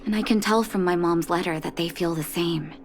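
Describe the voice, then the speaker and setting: Gentle, lowish-voiced actress as a young woman doctor. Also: brisk, a little hiss or hum, natural voice. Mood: hopeful, warm. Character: gentle, composed adult female doctor. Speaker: game character, underground doctor. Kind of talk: acted video game dialogue. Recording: studio voice over game music.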